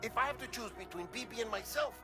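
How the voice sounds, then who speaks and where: An actor playing an anxious, lonely middle-aged scientist in a cinematic video game, with a nervous, hesitant, emotionally exposed delivery. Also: male voice, extremely high pitch, fast talker, over music. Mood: frightened.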